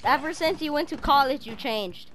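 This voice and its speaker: A young person improvising an excited roleplay with friends over game voice chat. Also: high-pitched, moderate pace. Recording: noisy, clicky game voice chat.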